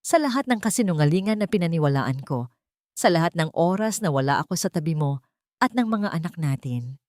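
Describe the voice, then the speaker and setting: A woman's voice: fairly low pitch, lively intonation, fairly quick, a little mellow, dry recording, clean studio sound, lightly acted. A Filipino-accented woman voicing a remorseful man who earnestly pleads for forgiveness in a dramatic story.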